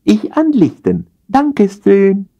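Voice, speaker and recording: A man's voice: high voice, wildly swooping pitch, slow pace, warm tone, highs cut off, pitched above the performer's own voice, full character voice. A man voicing a smiling, servile but stubborn waiter from Indonesia, speaking in over-polite broken phrases in an audio story. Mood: servile, insistent.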